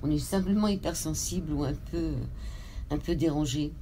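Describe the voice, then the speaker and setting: A woman hosting a radio interview, introducing her guest in a measured way and spelling out names. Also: sits low, mellow, a little hiss or hum, rough recording. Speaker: radio host.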